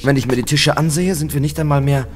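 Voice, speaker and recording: An actor playing an intense, loyal detective sidekick in a horror radio play. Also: male voice, on the high side, steady tone, fast talker, over loud music, close to an everyday voice. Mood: tense. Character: Suko, urgent, anguished, loyal male detective partner.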